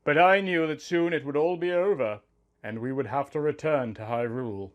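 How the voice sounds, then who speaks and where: A man's voice: a little high, moderate pace. A man reading a scripted first-person story as narrator, with music behind him.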